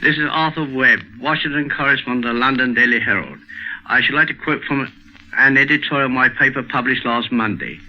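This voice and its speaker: Newspaper guy reading out a piece on old forties radio, mid pitch, dull sound. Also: brisk. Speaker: newspaper correspondent. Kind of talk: reading aloud on 1940s radio. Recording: muffled 1940s radio recording.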